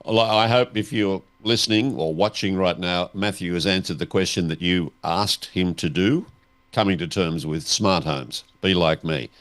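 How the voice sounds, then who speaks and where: A man's voice: low-pitched, normal speed, fairly bright tone. An Australian man hosting a casual question-and-answer chat, with a wry, teasing way of talking. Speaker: host putting listener questions. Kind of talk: casual radio-style chat.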